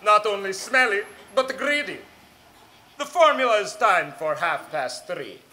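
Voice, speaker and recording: A woman's voice: medium pitch, animated, unhurried, pushed and bright, a little hiss or hum. A woman playing a tyrannical, gleefully cruel grand witch in a theatrical drama, speaking imperiously in foreign-sounding broken English. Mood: sly. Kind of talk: theatrical villain monologue.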